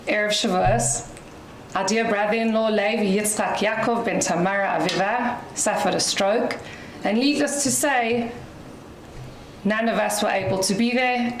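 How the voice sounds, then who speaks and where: A South African woman with a loud voice gives an inspirational talk to an audience as guest speaker, chatty with light laughs. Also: medium pitch, echoey room, slight background noise, low-quality recording. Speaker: guest speaker at a community dinner.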